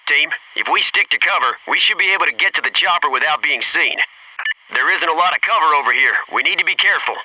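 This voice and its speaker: Video game Navy SEAL soldier, intensely sharp tone, terse matter-of-fact callouts, businesslike, slightly distant with faint noise. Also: male voice, on the high side, lively intonation, fast talker. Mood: alert, cautioning. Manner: clipped, tactical, matter-of-fact. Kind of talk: military game voice lines, terse radio callouts.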